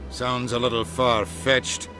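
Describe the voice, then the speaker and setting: An actor playing a brusque, skeptical middle-aged politician in a sci-fi video game, curt and dry. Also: male voice, mid-range voice, little pitch movement, takes it slowly, over music, lightly acted.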